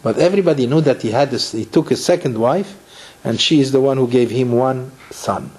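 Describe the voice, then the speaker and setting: A man's voice: medium pitch, brisk, darkish tone, breathy edge, poor audio. A middle-aged man from Morocco, a rabbi giving a Torah lecture, who restarts his phrases and asks rhetorical questions.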